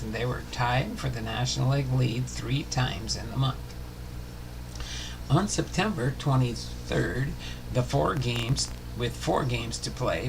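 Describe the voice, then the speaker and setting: A man reading a scripted sports history narration aloud, haltingly, often stumbling and correcting himself. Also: medium pitch, vocal fry, noisy background. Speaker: host of a sports history show. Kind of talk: scripted narration read aloud, baseball biography.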